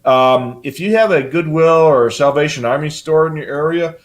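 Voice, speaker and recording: A man's voice: fairly high pitch, normal speed. An older man hosting a casual live hobby show, explaining things in a rambling, matter-of-fact way.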